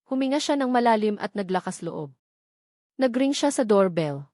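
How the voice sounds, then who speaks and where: A woman's voice: medium pitch, animated, moderate pace, mellow, no echo, studio quality. A woman reading scripted narration of a romance story as its narrator.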